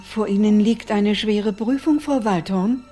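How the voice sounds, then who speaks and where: An actress playing a timid, scrupulous fortune-teller with a husky voice and a hesitant, solemn manner in a radio crime drama. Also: female voice, mid-range voice, a little slow, mellow, faint music behind, lightly acted. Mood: ominous, theatrical.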